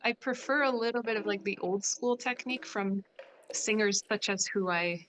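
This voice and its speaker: A woman's voice: mid-range voice, moderate pace, a bit bright, a little hiss or hum, low-quality recording. Chatty woman guest, filler-heavy. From Poland. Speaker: guest, classical singer. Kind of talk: casual interview chat.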